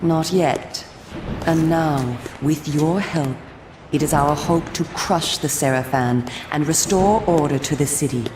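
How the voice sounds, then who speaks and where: An actress voicing a solemn, coolly persuasive female vampire resistance agent in a video game, speaking in a formal, measured, theatrical way. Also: low voice, echoey room, noisy background. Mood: grave, expository. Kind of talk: scripted video game cutscene acting.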